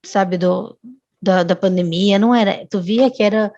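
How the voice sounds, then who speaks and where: A woman's voice: medium pitch, fairly even intonation, normal speed, a little mellow, dry recording, highs cut off. A woman, a guest telling a long personal story in casual chat, often restarting and adding tag questions. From Brazil.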